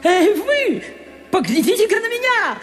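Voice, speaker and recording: A woman's voice: very high-pitched, very animated, unhurried, big-room sound, soft background music, heavily acted. A woman playing a vain, pompous ship's mechanic who imagines himself a flag, declaiming boastfully in an audio drama. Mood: boastful, demanding. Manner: pompous, declaiming, self-admiring.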